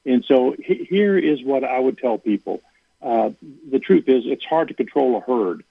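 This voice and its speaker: A man's voice: medium pitch, lively intonation, moderate pace, creaky, slightly rough, no room sound. A man who is a university athletic director, speaking as a guest in a radio interview, earnest and given to repeating words as he starts a thought. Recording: tinny phone line.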